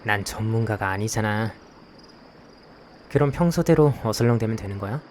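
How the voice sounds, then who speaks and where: A man acting a dry, grumbling young man in a visual novel, curt and deadpan, with rain and crickets behind him. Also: lowish voice, normal speed, a little hiss or hum, close to an everyday voice. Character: dry, grumbling young man, reluctant occult investigation helper. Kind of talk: visual novel acting with first-person narration.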